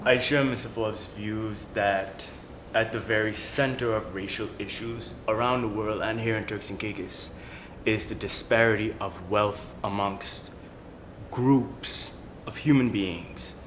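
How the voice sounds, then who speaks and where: A man, an attorney, introducing himself and speaking in a measured, deliberate way on a panel. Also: on the low side, steady tone, background noise. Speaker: attorney and entrepreneur. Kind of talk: discussion. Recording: music playing behind.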